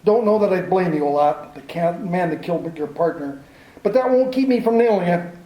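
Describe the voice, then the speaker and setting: A fairly high, mellow voice belongs to a tough lieutenant who keeps needling and accusing, played by a man. The recording sounds echoey, in the manner of 1940s radio drama. Each line comes out gruff and pressing. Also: expressive, brisk. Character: tough, suspicious male police lieutenant.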